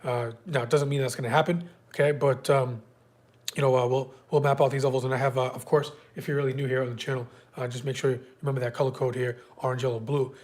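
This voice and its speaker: A man's voice: mid-range voice, level tone, fast talker, darkish tone. A man with a stuffy nose from a cold, hosting a live trading stream in a loose, filler-heavy way and greeting viewers by name. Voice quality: slightly congested. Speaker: day trading livestream host. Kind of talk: casual live market prep walkthrough.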